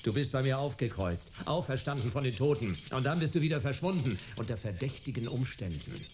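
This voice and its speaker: A man's voice: medium pitch, animated, brisk, noisy background, lightly acted. A man playing a laconic, tough private detective in a sci-fi radio drama, with a dry, terse manner. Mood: probing, dry. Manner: dry, terse, hard-boiled. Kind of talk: radio drama dialogue and first-person narration.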